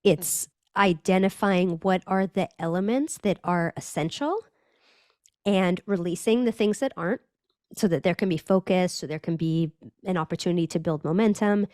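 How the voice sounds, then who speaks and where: A woman's voice: lowish voice, moderate pace, darkish tone, no room sound, clean studio sound. A woman from Northern California, a brand strategist talking as a podcast guest, thoughtful and searching, with frequent restarts. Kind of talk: reflective conversational interview.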